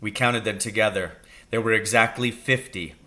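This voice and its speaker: A man reading a children's story aloud as an expressive narrator. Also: fairly low pitch, moderate pace. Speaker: story reader.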